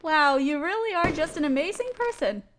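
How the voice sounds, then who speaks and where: A woman's voice: high-pitched, animated, fairly quick. A woman playing an affectionate, reassuring girlfriend in a roleplay audio, speaking sweetly and tenderly to a partner. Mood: tender, loving. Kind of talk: roleplay audio acting, addressing the listener as partner. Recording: noisy park and fireworks effects. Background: park sounds, fireworks.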